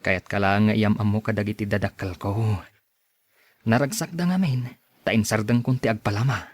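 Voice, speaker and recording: A man's voice: on the low side, normal speed, no echo, clean studio sound, lightly acted. A man acting an earnest, courteous young suitor in a radio drama, with an Ilocano accent. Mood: courting, friendly.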